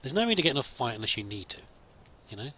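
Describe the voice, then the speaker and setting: A man's voice: medium pitch, sing-song, racing, sharp tone, breathy edge. A man musing aloud as he plays, improvising in-character commentary and talking to his companion as a let's play host.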